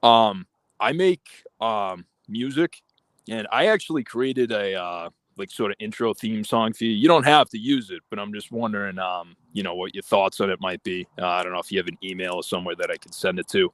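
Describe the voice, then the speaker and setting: A man calling in to a podcast, with a very deep, low voice. He stops and starts often, filling gaps with ums. His sound is dry, with no room echo. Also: brisk, a bit bright. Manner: hesitant, many fillers. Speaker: caller. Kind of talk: casual call-in chat.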